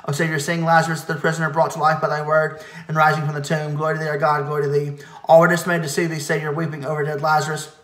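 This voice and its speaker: A man leading a church service, chanting hymn verses in a quick, steady recitation with occasional stumbles and self-corrections. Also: a little high, echoey room.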